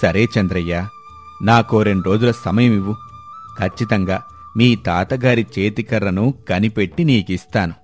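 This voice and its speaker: A man playing a steady, shrewd village headman with a measured, authoritative manner in a moral story. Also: on the low side, faint music behind, natural voice. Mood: reassuring. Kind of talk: acted dialogue in a moral story.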